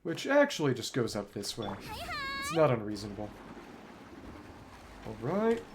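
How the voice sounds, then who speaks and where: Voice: mid-range voice, huge pitch swings, normal speed, noisy background. A video game streamer giving casual live commentary, thinking aloud and trailing off mid-thought.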